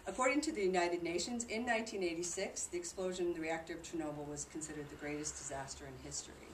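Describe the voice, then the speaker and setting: Female presenter, low voice, conversational explainer giving an informal group talk; noisy, echoing room recording. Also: fast. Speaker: presenter reporting on a charity trip. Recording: distant mic in noisy, echoey room.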